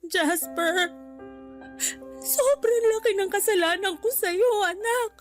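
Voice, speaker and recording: A woman's voice: extremely high pitch, takes it slowly, faint music behind. A woman playing a remorseful, pleading middle-aged mother in a Filipino radio drama, with emotional, tearful delivery. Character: remorseful, tearful mother begging her son's forgiveness.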